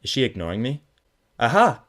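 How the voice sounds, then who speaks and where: Low-voiced young man, first-person storyteller, dry and sarcastic delivery. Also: brisk. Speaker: narrator. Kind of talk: first-person audiobook narration.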